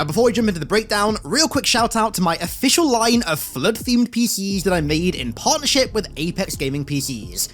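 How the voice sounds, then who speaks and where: Guy doing energetic game lore recap, voice swings up and down, bit of music behind him. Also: a little high. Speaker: YouTube host recapping video game story. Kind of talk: energetic scripted video commentary.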